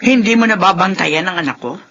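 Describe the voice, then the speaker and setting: A bitter older mother-in-law who scolds and accuses, her voice bright and cutting with a husky edge. Her pitch swings widely as she lectures. It comes through a dull, lo-fi radio broadcast. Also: lively intonation, a little fast. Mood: scolding. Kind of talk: radio drama acting.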